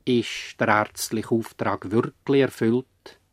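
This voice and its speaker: A man narrating a radio play as an earnest commentator, in deliberate, emphatic short phrases. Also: lowish voice, fairly even intonation, no echo, studio quality. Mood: grave, questioning. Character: earnest, probing narrator-commentator of a medical drama.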